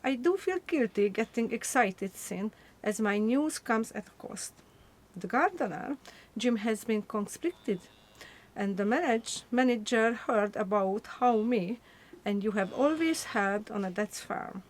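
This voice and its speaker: A woman's voice: on the high side, expressive, rough recording. A woman playing an eager, proud young woman reading her wartime letter to her sister in a radio play. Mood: guarded excitement. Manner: earnest, deliberate.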